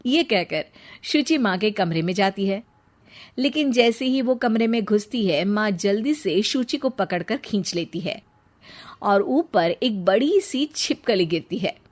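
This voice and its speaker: A woman's voice: mid-range voice, expressive, unhurried. A woman reading a scripted cartoon story as its narrator.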